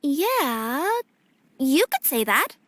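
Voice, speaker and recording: A woman's voice: sits high, very animated, extremely slow, fairly bright tone, slight background noise, heavily acted. A woman voicing a polite young female courier in a game cutscene, businesslike and reassuring.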